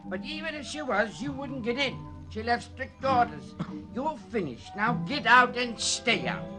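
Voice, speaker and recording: Stubborn doorman: strikingly high pitch, bossy, old radio crime drama with music. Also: lively intonation, unhurried. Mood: stern. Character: stern, stubborn male theater doorman.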